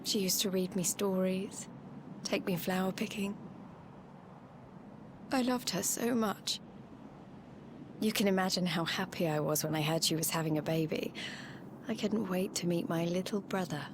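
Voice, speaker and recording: An actress voicing a poised, earnest young princess in a video game cutscene, composed and well-spoken with a wistful streak. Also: female voice, fairly low pitch, moderate pace, long pauses, background noise, lightly acted. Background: music.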